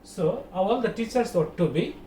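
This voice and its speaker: High-pitched Bangladeshi male teacher, echoey room. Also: animated, normal speed, dark tone. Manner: didactic, repetitive, asks and answers own questions. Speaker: school teacher. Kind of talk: online grammar lesson. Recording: roomy echo, distant mic, faint noise.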